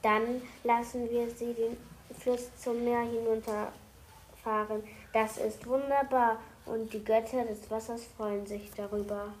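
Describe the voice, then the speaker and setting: A curious, enthusiastic girl, played by a woman in a children's audio play. Her voice is high and her reading is careful and explanatory. Faint noise and clicks sit in the recording. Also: little pitch movement, takes it slowly, darkish tone, poor audio.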